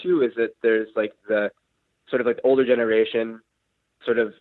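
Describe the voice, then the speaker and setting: A man's voice: lowish voice, little pitch movement, fairly quick, no room sound. A young man calling into a talk show, hesitant and full of "like" and "sort of". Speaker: caller. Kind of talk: casual phone call. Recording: tinny phone line.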